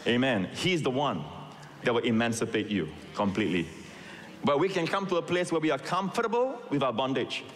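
A man's voice: mid-range voice, animated, a little fast. A middle-aged man from Singapore, raised in Malaysia, preaching a sermon with emphatic asides and calls to the congregation. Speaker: pastor. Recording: long reverb in a large hall.

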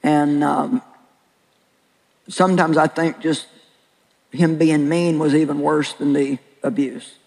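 Older woman preacher, very deep voice, earnest and plain-spoken, slow deliberate pauses, echoing large hall. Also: fairly even intonation, creaky, darkish tone. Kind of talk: sermon with personal testimony.

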